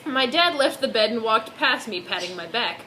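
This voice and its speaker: Woman, very high voice, swooping intonation, mock-dramatic story reading, giggly, sarcastic asides. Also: animated, normal speed, a crowd in the background. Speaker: host reading fan fiction aloud.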